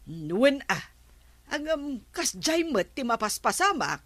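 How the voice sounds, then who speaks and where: A woman with an Ilocano accent playing an insistent village woman, emphatic and drawn-out, in a radio comedy drama. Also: on the high side, big pitch swings, fairly bright tone, no room sound, exaggerated voice.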